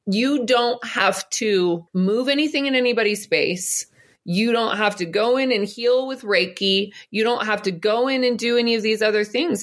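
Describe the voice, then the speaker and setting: A middle-aged woman answers interview questions in an earnest, flowing way. She speaks at a mid pitch and often laughs. She sounds like a podcast guest sharing her experiences. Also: a bit bright. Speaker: podcast guest, psychic and business coach. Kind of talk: spiritual interview talk.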